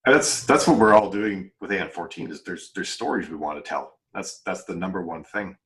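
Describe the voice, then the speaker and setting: A man's voice: sits low, moderate pace. A man hosting a podcast panel, talking casually with the other guests. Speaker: podcast host.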